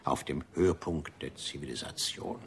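An actor playing a pompous, fastidious gentleman gourmet in a German radio drama, lecturing formally and rhapsodizing about food. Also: rumbling low. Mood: solemn, admonishing. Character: pompous, fastidious gentleman gourmet, obsessively devoted to one restaurant.

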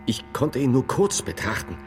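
A man playing a dazed, eccentric medieval theologian in a radio drama, halting and stammering, with grave whimsy. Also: mid-range voice, sing-song, music in the background. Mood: halting, reflective. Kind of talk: German radio drama acting.

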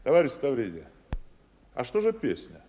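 A man's voice: medium pitch, wildly swooping pitch, moderate pace. An actor playing a steadfast male senior lieutenant commanding soldiers in a wartime radio play. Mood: comradely, coaxing. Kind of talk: Russian radio play acting. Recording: muffled, noisy echoing hall sound.

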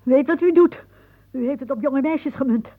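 An actress playing a frail, anxious, possessive old aunt in a radio play, with a plaintive, wistful delivery. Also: female voice, high-pitched, moderate pace. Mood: suspicious, sharp. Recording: muffled, narrow-band old radio recording.

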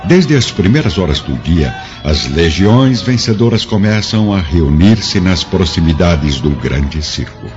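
A man's voice: low voice, sing-song, a little slow, few pauses. A man with a Brazilian accent narrating a historical radio serial in a solemn, theatrical way. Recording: dull lo-fi recording with music underneath.

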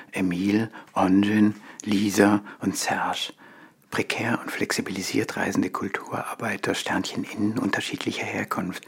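A man reading scripted scene descriptions as a narrator, in a breathy, husky half-whisper and a deliberate, listing manner. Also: on the low side, a bit bright.

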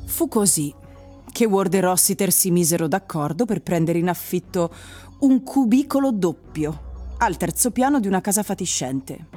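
An actress reading literary narration as the narrator of an Italian dystopian radio drama. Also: female voice, fairly low pitch, a little mellow.

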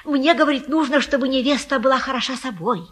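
An actress playing a garrulous, folksy old Russian matchmaker in a stage comedy, coaxing and boasting theatrically. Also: female voice, high-pitched, quick pace. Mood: effusive selling. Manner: folksy, garrulous, effusive, sing-song coaxing. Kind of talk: theatrical stage-comedy acting of a classic play.

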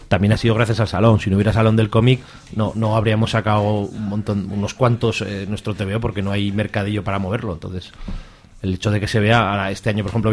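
A man's voice: lowish voice, a little hiss or hum. A talkative man with an accent from Spain, chatting casually on the radio about his hobby in a colloquial, jokey way. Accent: Spanish from Spain. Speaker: radio show contributor reviewing comics and fanzines.

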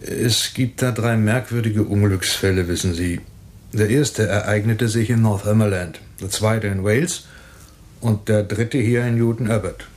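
An actor playing a calm, methodical police inspector in a radio drama, speaking in a measured, explanatory way. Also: male voice, lowish voice, close to an everyday voice.

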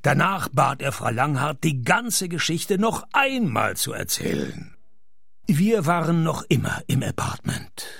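A man's voice: fairly high pitch, very animated, measured pace, vocal fry, fairly bright tone, breathy edge, dry recording, full character voice. An actor playing a laconic, wry male private detective in a German-language radio play, dry and ironic as he narrates and talks. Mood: dry narration.

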